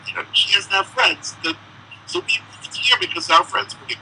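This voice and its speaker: Guy chatting in an interview, fairly high, really bright voice, lots of restarts. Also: wildly swooping pitch, fairly quick, creaky, slight background noise, low-quality recording. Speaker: musician guest. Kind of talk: casual interview answers. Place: Israel.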